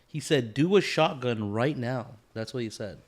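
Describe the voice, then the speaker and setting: A man's voice: mid-range voice, expressive, moderate pace. A man hosting a podcast in casual, profane, joking chat, full of slangy bro talk. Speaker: podcast host.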